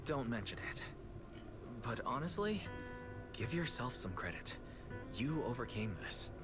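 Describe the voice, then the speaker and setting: A man's voice: mid-range voice, very animated, a little slow. A man voicing a loyal, caring young team leader in a video game, casual and reassuring. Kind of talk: scripted video game voice acting. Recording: game music behind the voice.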